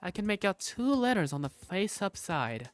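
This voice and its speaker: A narrator reading first-person scripted visual novel story text in an expressive storytelling manner. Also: mid-range voice, normal speed, faint music behind. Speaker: narrator and lead character.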